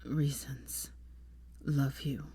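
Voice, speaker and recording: A woman voicing a dying, delirious young man in a faint, halting, gasping whisper for an audiobook story. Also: very deep voice, little pitch movement, mellow, slightly rough, dry recording, pitched below the performer's own voice, heavily acted. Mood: weak, fading.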